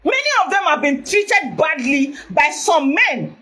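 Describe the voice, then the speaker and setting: Nigerian woman live-streaming: naturally loud, high voice, presses her points hard. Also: very animated, a little slow. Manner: emphatic, repeats herself. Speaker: vlogger. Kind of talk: Facebook live video.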